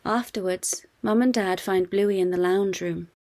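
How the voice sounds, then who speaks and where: A woman reading a children's storybook aloud in an expressive scripted narration for young listeners. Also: mid-range voice, a little slow.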